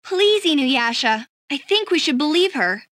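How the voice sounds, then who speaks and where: A woman's voice: sits high, big pitch swings, moderate pace, a bit bright, no echo, studio quality. An actress playing an earnest, kind-hearted teenage schoolgirl heroine in an anime game. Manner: earnest, pleading.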